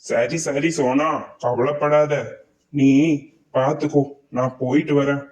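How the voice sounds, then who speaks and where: A man's voice: mid-range voice, steady tone, slow, lightly acted. A man voicing a caring crow, a family friend, in a children's cartoon story. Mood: concerned. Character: concerned older-brother crow friend of a sparrow family. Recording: dull, slightly distant sound.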